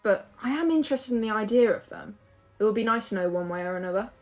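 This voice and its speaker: A woman's voice: medium pitch, lively intonation, fast talker, darkish tone, soft background music, lightly acted. A woman acting in an audio drama as a restless, hopeful young woman who chats in a musing, playful way. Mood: musing, curious.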